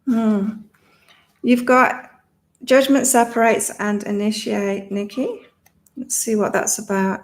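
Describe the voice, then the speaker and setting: A woman hosts a relaxed online reading session with a slight morning croak in her voice. She chats, laughs often and reads viewers' comments aloud before turning to the cards. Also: a little high, takes it slowly. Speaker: psychic reader and channel host. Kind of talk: casual livestream chat with card readings.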